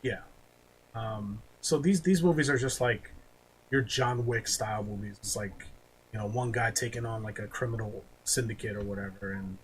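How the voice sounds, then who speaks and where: A man's voice: lowish voice, normal speed. Offhand man chatting over noticeable noise. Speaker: podcast host. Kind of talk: casual chat, movie ranking. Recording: clearly noisy background.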